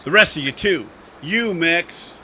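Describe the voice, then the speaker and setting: A man's voice: on the high side, expressive, measured pace, background noise. A man playing a hot-tempered, vengeful cowboy in a radio western, belligerent and impatient. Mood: defiant, impatient. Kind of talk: old-time radio western acting.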